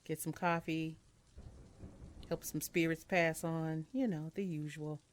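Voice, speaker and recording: A woman's voice: deep voice, fairly even intonation, unhurried, a little hiss or hum. A woman doing a casual let's-play, reading on-screen game text aloud with chatty murmured asides, over game music. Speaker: video game streamer. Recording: music playing behind the voice.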